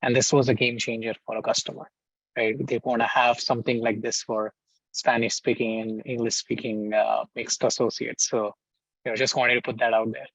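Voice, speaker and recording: A man adding a relaxed, conversational remark during a webinar. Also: mid-range voice, moderate pace, dry recording. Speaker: panelist. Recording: dry, muffled remote audio.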